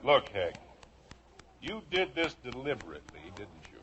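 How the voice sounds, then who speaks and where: Harried, animated sitcom dad. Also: male voice, mid-range voice, moderate pace, a little hiss or hum. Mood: accusing. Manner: excitable, exasperated. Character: harried, exasperated sitcom husband and father. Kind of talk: 1950s radio sitcom acting. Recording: muffled old radio recording.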